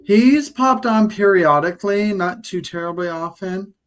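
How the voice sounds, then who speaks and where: The host of a craft livestream talks slowly and easily, pausing often with ums. The voice sits in a middle pitch. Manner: slow, hesitant, many ums. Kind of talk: casual chat.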